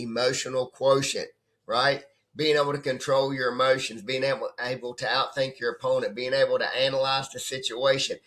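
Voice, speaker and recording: A hoarse man who has nearly lost his voice, talking as a trading coach in a rambling, conversational way and often checking in with "right?". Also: mid-range voice, fairly bright tone. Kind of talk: casual off-the-cuff coaching talk. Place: at his computer desk.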